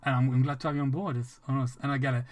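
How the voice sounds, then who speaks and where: A man's voice: mid-range voice, fairly even intonation, very fast. A man with an English accent chatting casually with viewers during a game livestream, rambling, restarting sentences and swearing freely. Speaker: streamer.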